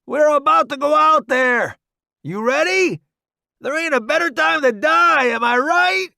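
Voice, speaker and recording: Man playing a brash shouting young soldier. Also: high voice, big pitch swings, measured pace, creaky, dry recording, studio quality, pitched above the performer's own voice, heavily acted. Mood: urgent shouting. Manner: loud, shouting over explosions. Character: brash reckless young male soldier, joking under fire.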